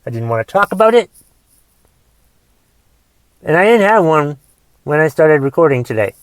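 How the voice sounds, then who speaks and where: A man in his forties with a raspy voice from a cough, casually rambling through a movie review on his podcast and thinking aloud with lots of fillers. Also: on the high side, big pitch swings, normal speed, no room sound. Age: 40s. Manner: thinks aloud, musing questions, trailing fillers. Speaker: podcast host.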